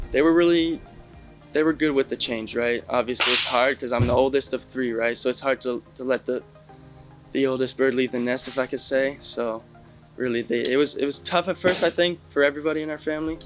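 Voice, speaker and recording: A young man with a level, mid-pitched voice answers questions in a relaxed, conversational way. His delivery stays flat and even, and he keeps checking in with a casual "right?". Faint music plays softly behind him. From Canada, Ontario. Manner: easygoing, tags many lines with "right?". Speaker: interview guest, baseball catcher.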